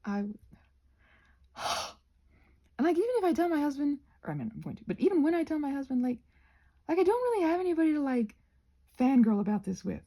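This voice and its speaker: A woman rambling casually to her viewers while crafting, hesitant and self-deprecating, trailing off and often slipping into a breathy near-whisper. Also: sits high, very animated, fairly quick, long pauses, mellow. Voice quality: breathy, husky with creak.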